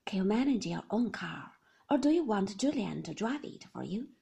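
A cool, measured gentleman, unhurried and controlled, with a husky, gravelly voice, as a woman narrator reads him. His pitch moves in lively curves. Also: exaggerated voice.

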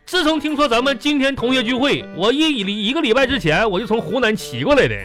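Boastful, thrifty rustic veg trader played by a man, Northeastern Chinese accent, bright high voice, excitable folksy radio-comedy patter. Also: fast, faint music behind. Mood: boastful, eager. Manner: folksy, excitable, repetitive chatter. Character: boastful, thrifty, chatty small-time rustic vegetable trader.

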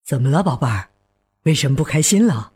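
A man playing a calm, patient father, gentle and reassuring, in a children's radio drama. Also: a little high, fairly quick, slight background noise, lightly acted. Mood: comforting.

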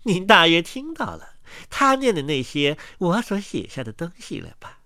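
A sneering talking quill pen from a storybook, put on by a man. The delivery is mocking and conceited, with swooping, very animated intonation and a dry, close sound. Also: sits high, normal speed, no echo, full character voice. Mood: smug. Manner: mocking, snickering, superior. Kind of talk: acted storybook reading.

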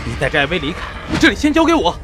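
An earnest young warrior in a fantasy audio drama. His voice is fairly high and swings dramatically, with quick, urgent delivery. Loud music plays behind him. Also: huge pitch swings. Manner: urgent, emotional, commanding. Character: earnest, protective young male warrior with a shield.

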